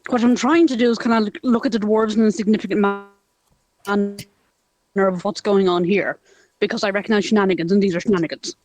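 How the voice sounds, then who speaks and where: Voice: mid-range voice, fairly even intonation, fast talker, darkish tone, rough recording. A wry, playful player talks through a character's moves at a tabletop game, voice in the middle range. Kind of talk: casual play at a tabletop game.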